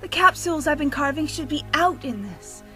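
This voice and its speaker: Woman voicing a young time lady artist, high voice, swooping intonation, defiant, idealistic, audio drama. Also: lively intonation, normal speed, sharp tone. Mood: passionate. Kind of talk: audio drama character dialogue. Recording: music behind the voice.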